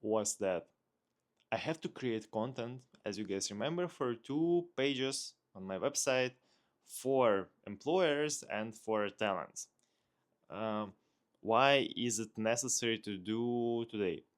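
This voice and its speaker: A man with a Russian accent speaks very slowly in a fairly low voice. He talks casually as a vlogger, unscripted, often pausing to search for the next phrase as he thinks aloud. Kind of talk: casual unscripted vlog talk.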